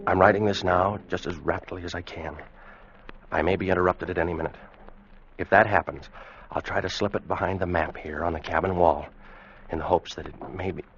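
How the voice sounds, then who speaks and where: A man's voice: sits low, fairly even intonation, a bit bright, a little hiss or hum. A man playing a weakened, fearful American sailor, giving a grave, haunted first-person account in a radio drama. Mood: urgent, doomed. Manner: grave, haunted, measured storytelling. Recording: muffled vintage radio recording, music behind. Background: dramatic music.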